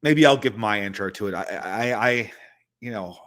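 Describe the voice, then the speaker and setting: A male host with a low voice talks through a casual live stream from home. He rambles, circling back with many false starts. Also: moderate pace, a bit bright.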